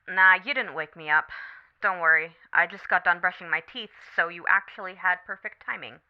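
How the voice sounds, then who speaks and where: A woman playing a supportive, candid best friend on a late-night call in an ASMR roleplay, talking casually, gently and thoughtfully. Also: lowish voice, clean tone, highs cut off, close to an everyday voice. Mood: playful, teasing. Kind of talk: scripted ASMR roleplay, one side of a phone conversation.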